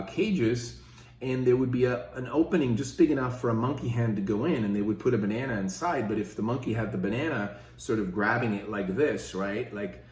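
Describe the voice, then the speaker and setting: A man's voice: fairly low pitch, darkish tone, echoey room. A fifty-year-old man from Switzerland, talking casually and rambling with many fillers in his own opinion video. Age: 50s. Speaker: podcast host.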